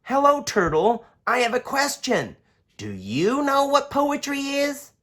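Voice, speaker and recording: A woman's voice: sits high, wildly swooping pitch, unhurried, a bit bright, pitched above the performer's own voice, heavily acted. A woman voicing a polite, curious little boy in a children's storybook.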